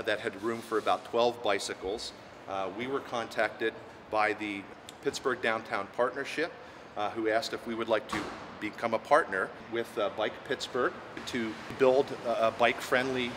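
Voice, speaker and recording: A middle-aged man who runs a parking garage, speaking in an on-camera interview with many "uh" fillers, recorded inside a parking garage. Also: medium pitch. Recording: noisy garage ambience.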